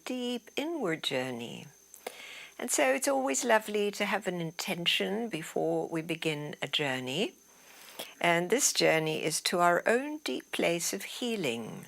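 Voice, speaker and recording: A woman teaching yoga nidra, guiding relaxation in a soothing, unhurried way that often trails off into breathy softness. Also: low voice. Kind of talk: guided relaxation meditation instruction.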